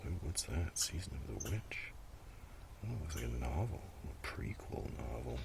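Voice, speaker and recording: A man with an extremely low, crackly, fry-heavy voice rambles quietly in an ASMR show-and-tell. He murmurs at an unhurried pace and sometimes slips toward a whisper. He is recorded outdoors, with clear breezy ambient noise around him. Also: very animated. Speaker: ASMR video host.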